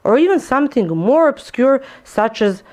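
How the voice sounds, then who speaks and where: A young woman whose first language is Serbian, presenting a research paper in a clear, explanatory way with a few small slips. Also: sits high, sing-song, moderate pace, a little mellow. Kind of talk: prepared lecture presentation.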